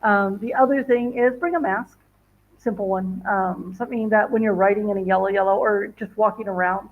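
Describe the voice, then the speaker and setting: A woman's voice: medium pitch, fairly even intonation, fairly quick. Woman, travel vlogger, casual live chat, rambling with fillers, muffled stream audio. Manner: rambling, many fillers and restarts. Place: home in northern Ghana.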